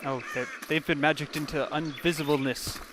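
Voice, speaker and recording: A man's voice: a little high, fairly even intonation, fairly quick, noisy background. A man from Canada doing casual, wry, reactive commentary on a video game. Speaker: gaming video host. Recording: game sound bleeding in behind commentary.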